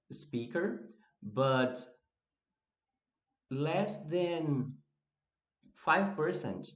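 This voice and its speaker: A man from northeast Brazil with a Brazilian accent, a native Portuguese speaker and English teacher, chatting casually and unscripted to camera, relaxed and restarting phrases as he goes. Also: a little high. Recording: echoey room.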